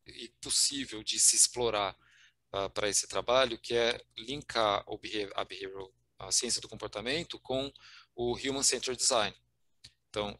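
A middle-aged Brazilian man with a Brazilian Portuguese accent walks through slides over a video call. His voice sits a little low yet has a bright, forward edge. He talks in a hesitant way, full of fillers and restarts. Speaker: guest speaker. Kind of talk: presenting slides in a virtual meetup. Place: home room on video call.

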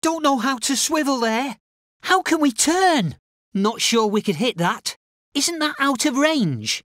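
Timid, high-pitched soldier. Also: male voice, big pitch swings, a little slow, a bit bright, dry recording, clean studio sound, full character voice. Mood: hesitant, obedient. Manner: hesitant, unsure, polite. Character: timid, unsure British infantry soldier, eager to obey. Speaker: infantry soldier unit voice. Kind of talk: video game unit barks.

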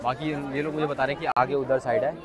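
A man's voice: mid-range voice, steady tone, fast talker. A young man chatting casually to viewers as a vlogger. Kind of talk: casual vlog chat to viewers. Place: crowded outdoor street market. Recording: loud outdoor market crowd noise. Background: bustling crowd and street sounds.

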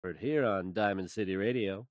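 A man's voice: lowish voice, normal speed, no room sound, studio quality, lightly acted. An actor playing an awkward young male radio DJ in a game, hesitant and stammering between songs. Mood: tentatively upbeat.

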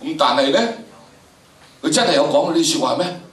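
An older man with a Hong Kong Cantonese accent teaches in an emphatic, questioning style. His voice is bright and forceful, with stretched phrases for stress. He is picked up from a distance in a very echoey lecture theatre. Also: fairly high pitch, expressive. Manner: emphatic, drawn-out phrasing with frequent rhetorical questions. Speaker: teacher of history and drama. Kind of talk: animated lecture to students. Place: large indoor lecture theatre.

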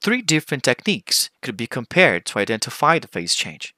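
A man giving a formal, scripted conference presentation as a researcher, with deliberate phrasing. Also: medium pitch, wildly swooping pitch, bright, forceful tone, dry recording.

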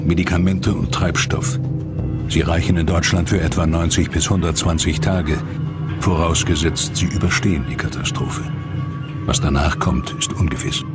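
A man narrating a scripted dramatic documentary in a measured, grave manner. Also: low-pitched. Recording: voice-over under loud music. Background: loud score.

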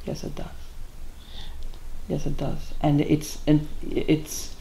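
A woman's voice: low voice, monotone, slow pace, gravelly, mellow, low-quality recording. An older woman from Miami, a paranormal investigator, questioning a client in casual talk, affirming often and explaining at length. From Miami, Cuban family. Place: indoor living room with antiques.

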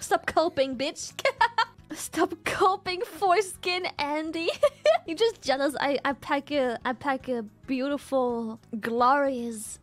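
A woman with a very high, squeaky voice talks to her stream chat in a crude, teasing way and keeps breaking into giggles. Faint music plays under her voice. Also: fairly bright tone. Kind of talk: crude casual chat with viewers.

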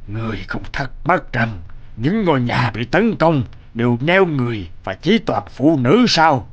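A man voicing an arrogant hulking male demon king who speaks in a scornful, commanding way, in an animated folk tale. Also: mid-range voice, measured pace, dull audio. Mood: stern.

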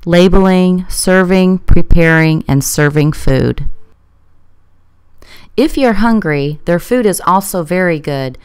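A woman's voice: sits low, clean tone, no room sound. A woman reading scripted promotional narration.

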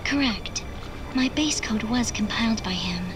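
An actress voicing a detached digital AI girl in a video game cutscene, measured and precise. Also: a little high, expressive. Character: calm female digital AI girl, cryptic. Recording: game audio with music underneath.

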